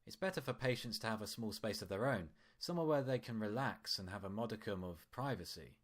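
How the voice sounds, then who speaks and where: A man voicing a cheery, falsely gentle pony doctor with a patronizing, chatty manner in a story reading. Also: fairly low pitch, lively intonation, brisk. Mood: soothing. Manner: patronizing, chatty, over-soothing.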